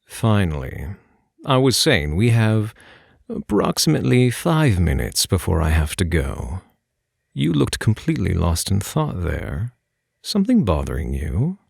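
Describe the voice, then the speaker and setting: A man plays a charming phantom thief with a very low voice that swings expressively as he teases and coaxes. He speaks softly and knowingly in a close, dry ASMR roleplay, as if confiding in one listener. His delivery is unhurried and intimate. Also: huge pitch swings, vocal fry, slightly rough, no room sound, full character voice. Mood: teasing, casual. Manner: soft-spoken, coaxing, knowing, unhurried. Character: suave, teasing male phantom thief posing as prison guard.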